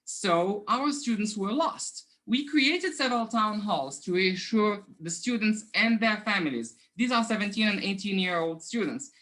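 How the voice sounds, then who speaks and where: Bright-voiced Moroccan-accented man presenting. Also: high-pitched, lively intonation, moderate pace, hardly any pauses, low-quality recording. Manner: earnest, explanatory, frequent "um" fillers and self-corrections. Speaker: conference presenter, assistant professor. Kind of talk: academic conference presentation.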